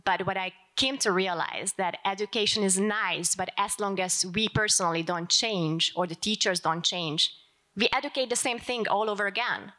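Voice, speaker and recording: A woman's voice: medium pitch, sharp tone. A woman from Hungary with a Hungarian accent, asking a long, earnest question from the audience at a panel event.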